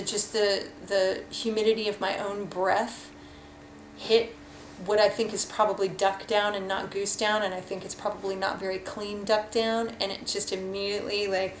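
A woman's voice: mid-range voice, slight background noise. A woman in her thirties rambling frankly through a casual gear review at home, full of fillers, recorded on a webcam at her desk. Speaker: hiking vlogger. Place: kitchen at home, indoors. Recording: echoey room, faint ambience.

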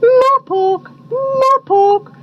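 A woman voicing a storybook owl with drawn-out hooting calls. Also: extremely high pitch, lo-fi sound, pitched above the performer's own voice, full character voice. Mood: crying out. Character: wise old owls, hooting and scoffing storybook birds. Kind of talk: storybook bird-call mimicry.

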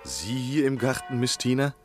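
An actor in a German radio drama playing an ingratiating gentleman scholar, polite, measured and coaxing. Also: mid-range voice, very animated, lightly acted. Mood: gallant, persuasive. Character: courteous, ingratiating gentleman scholar, persuasive and probing.

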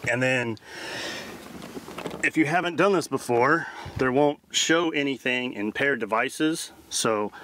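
A man's voice: mid-range voice, pushed and bright. A man in his thirties giving an informal, step-by-step tech tutorial as the host. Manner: informal, instructional.

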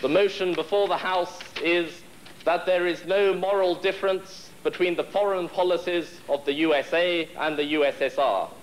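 A man's voice: on the high side, slight background noise. A young man in his twenties formally chairing a debate in a large wood-panelled hall. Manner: formal, ceremonial. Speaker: debate society president chairing.

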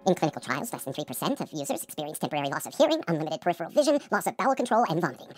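Woman doing super fast pharma side effects disclaimer lady, lowish, dry sound. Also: very animated, no echo, soft background music. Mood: brisk, businesslike. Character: rapid-fire female drug advert disclaimer reader. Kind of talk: parody disclaimer.

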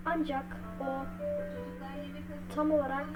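Female video narrator, high voice, halting delivery with filler words, loud music bed throughout. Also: level tone. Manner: halting, broken phrases with repeated filler words. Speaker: video creator narrating a horror theory. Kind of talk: unscripted storytelling commentary to viewers.

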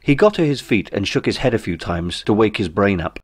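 A man's voice: on the low side, lively intonation, fairly quick. A British man reading a comic novel as audiobook narration in a wry, droll way. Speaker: author reading own novel.

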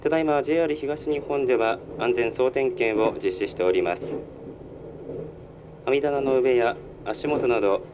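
Muffled male train conductor over the PA. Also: normal speed. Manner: formal, polite, procedural. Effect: public address speaker. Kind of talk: onboard train announcement. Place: inside a moving train. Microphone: recorded from the carriage speaker. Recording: muffled train PA, rumbling vehicle noise. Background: train running noise.

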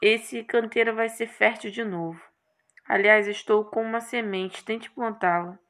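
Woman voicing a dry, measured horned magician. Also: a little high, steady tone, a bit bright. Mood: matter-of-fact. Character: calm, literal-minded horned male magician gardener.

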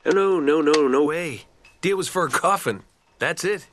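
Cocky, wisecracking American treasure hunter. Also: male voice, fairly high pitch, a little slow, a bit bright, slight background noise. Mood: guarded, wry. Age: 30s.

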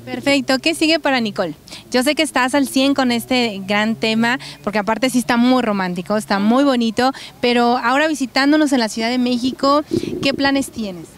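Upbeat Mexican woman reporter, fairly high voice. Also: moderate pace, slight background noise. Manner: lively, addresses viewers, hesitates with eh. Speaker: entertainment reporter. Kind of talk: upbeat interview hosting. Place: outdoors in a city park. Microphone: handheld mic.

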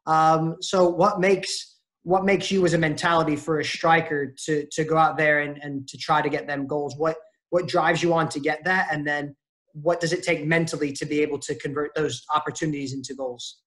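Higher-pitched male podcast interviewer. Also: little pitch movement, moderate pace, fairly bright tone. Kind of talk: conversational interview. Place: at home on a video call.

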